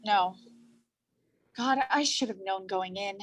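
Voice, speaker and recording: A woman's voice: medium pitch, slow pace, lightly acted. A woman acting as a guarded, stubborn young actress in a staged play reading, with a defensive, blunt manner. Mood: uneasy.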